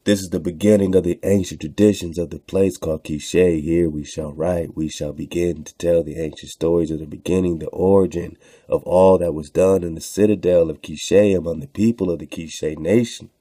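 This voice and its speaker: Very deep, mellow male radio host. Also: fairly even intonation, normal speed, husky, no echo. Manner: rhetorical, preacher-like, repeats phrases for emphasis. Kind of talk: reading aloud from texts with informal spoken commentary.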